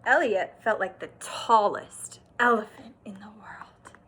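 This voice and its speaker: A woman teacher doing an expressive read-aloud for young children, stressing words and pausing to explain and ask simple questions. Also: mid-range voice, slight background noise. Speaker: kindergarten teacher.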